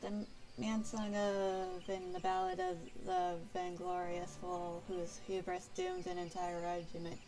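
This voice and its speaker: A woman's voice: fairly low pitch, big pitch swings, unhurried, few pauses, a little mellow. A woman voicing a tormented, remorseful male archer turned bard from a fantasy game, in formal, archaic storytelling. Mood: solemn, regretful. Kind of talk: reading fantasy game dialogue aloud. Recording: game music behind.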